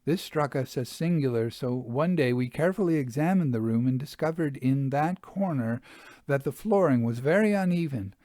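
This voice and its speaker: A man's voice: mid-range voice, warm tone, dry recording, clean studio sound, lightly acted. A man voicing a nervous farmer's wife in a storybook reading, earnest and emphatic as she tells of her haunted house. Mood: confiding.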